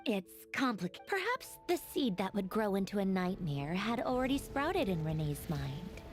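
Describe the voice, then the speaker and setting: A woman's voice: medium pitch, big pitch swings, normal speed, faint music behind, natural voice. An actress playing a haughty, witty woman inventor in a video game, guarded yet wistful, speaking in a wry, articulate way. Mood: reflective, somber. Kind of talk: scripted video game story dialogue.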